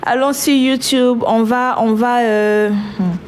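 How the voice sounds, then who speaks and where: Femme animatrice de live, enrhumée, débit lent, léger bruit de fond. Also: female voice, a little high, fairly even intonation, noisy background. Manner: slow, chatty, trailing restarts. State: has a cold. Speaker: livestream host. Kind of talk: casual live-stream chat.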